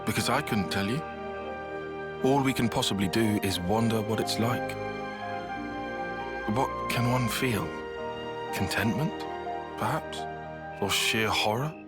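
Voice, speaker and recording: A man acting a cautious, brooding young soldier in a video game cutscene, soft-spoken, pensive and measured. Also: fairly low pitch, natural voice. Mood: pensive, melancholy. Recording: music behind the voice.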